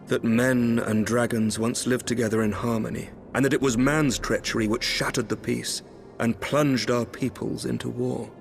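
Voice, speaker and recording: A man's voice: mid-range voice, steady tone, creaky, soft background music, lightly acted. Noble, resolute knight commander, acted by a man. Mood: grave. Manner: measured, formal, earnest. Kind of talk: formal fantasy game dialogue acting.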